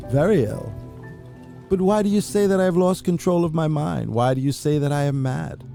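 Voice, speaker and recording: A man giving a dramatic reading of a classic horror story, brooding and intense, with sudden hushed drops and rising outbursts. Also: medium pitch, gravelly. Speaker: reader.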